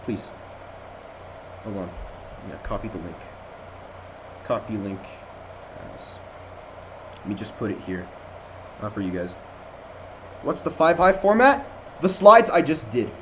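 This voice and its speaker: A man's voice: fairly low pitch, animated, a little slow, long pauses, noisy background. A young man from Jordan with an American accent, rambling casually as a student lecturer on an online voice call. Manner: chatty, rambling, with many fillers and restarts. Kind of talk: casual online review session. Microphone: computer mic.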